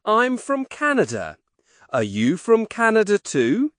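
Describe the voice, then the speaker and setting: A woman voicing a polite, cheerful young schoolboy in a scripted textbook dialogue, speaking slowly and carefully. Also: mid-range voice, huge pitch swings, no echo, studio quality. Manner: slow, carefully spoken.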